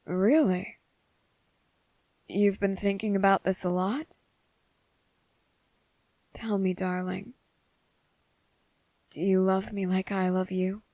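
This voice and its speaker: A woman's voice: medium pitch, steady tone, no room sound. Woman voicing a devoted, possessive vampire man. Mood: tender, grave. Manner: slow, soft-spoken and intimate, slipping into whispers. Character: young male vampire, devoted and possessive lover. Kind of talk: ASMR roleplay.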